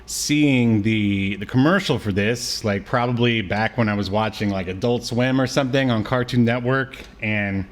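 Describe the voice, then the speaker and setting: A man with a fairly low voice speaks casually as a podcast co-host. He feels his way through his thoughts, hesitating and rephrasing as he goes. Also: moderate pace, hardly any pauses. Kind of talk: casual chat about cartoons.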